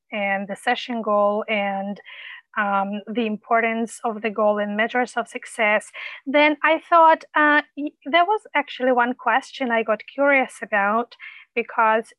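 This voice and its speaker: A woman coach mentor, whose first language is not English, giving supportive, measured feedback with frequent pauses and ums. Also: a little high, no room sound, lo-fi sound.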